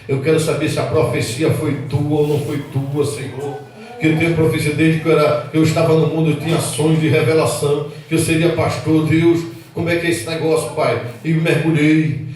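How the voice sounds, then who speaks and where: Brazilian pastor, 40s, impassioned sermon; high voice, heard from across a reverberant hall. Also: male voice, slight background noise. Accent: Brazilian Portuguese. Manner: preaching fervently, swinging between loud outbursts and low earnest tones. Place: church hall. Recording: echoey hall, mic at a distance.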